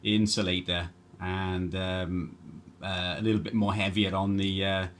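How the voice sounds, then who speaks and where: A man's voice: sits low, little pitch movement, unhurried, fairly bright tone, slight background noise. A man chatting casually as an amateur radio operator, hesitant and rambling as he goes. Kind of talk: casual two-way radio chat.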